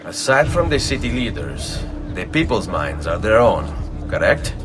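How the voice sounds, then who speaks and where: An actor playing a grave, resolute assassin of Renaissance Italy in a video game, with an Italian accent and measured, formal speech. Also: male voice, on the low side, big pitch swings, background noise. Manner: measured, solemn, formal phrasing. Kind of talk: dramatic video game cutscene acting. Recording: music behind.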